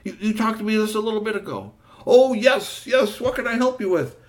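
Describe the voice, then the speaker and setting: A panicky young man stuck up a tree, as a male storyteller puts him on, stammering his pleas in a voice pushed well above the teller's own. His lines jump high and loud, full of restarts and cries for help. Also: expressive, a little fast. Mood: panicked.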